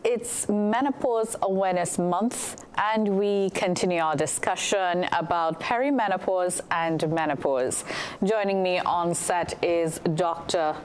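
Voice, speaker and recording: A woman hosting a studio interview, prompting her guest in a brisk, friendly way. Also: lowish voice, slight background noise. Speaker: TV talk show host. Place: television studio.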